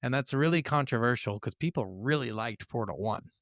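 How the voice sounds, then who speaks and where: A man's voice: mid-range voice, animated, fairly quick, a bit bright, no echo, clean studio sound. A man co-hosting a casual gaming podcast, blunt and opinionated, with frequent swearing. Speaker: podcast co-host.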